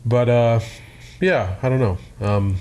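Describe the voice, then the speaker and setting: An American man chatting casually in hesitant, halting phrases. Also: medium pitch, dark tone. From United States. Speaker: musician guest. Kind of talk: casual chat.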